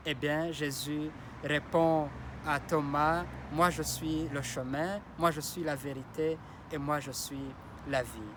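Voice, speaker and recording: Priest, male, Kinyarwanda or Kirundi as first language. Solemn homily delivery at a very slow pace, slightly high voice. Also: steady tone, background noise. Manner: slow, solemn, repeats blessing phrases. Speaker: parish priest. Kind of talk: Sunday homily.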